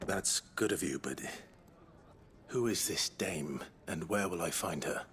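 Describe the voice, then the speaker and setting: A man's voice: sits low, a little slow, a little hiss or hum. A man playing an earnest young fantasy hero in a video game, brooding, reserved and terse. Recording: loud music behind the voice. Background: loud game music.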